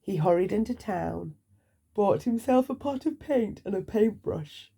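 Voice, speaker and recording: A woman narrating a children's bedtime story aloud, with deliberate, expressive phrasing for young listeners. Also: mid-range voice, gravelly, husky.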